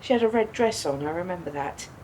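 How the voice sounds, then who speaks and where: A woman's voice: quick pace, lightly acted. An actress in a radio play playing a bereaved, wounded woman who questions pointedly with controlled bitterness. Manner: probing, pointed, echoing words back. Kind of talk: naturalistic radio play dialogue. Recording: steady background noise, low rumble.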